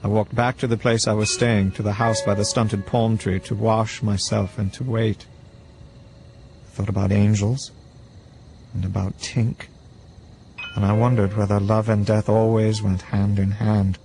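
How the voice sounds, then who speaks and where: A man playing a weary, brooding first-person narrator, telling a story in a measured, reflective way. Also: fairly low pitch, level tone, mellow, slight background noise, low-quality recording. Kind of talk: scripted story narration, hardboiled. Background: music.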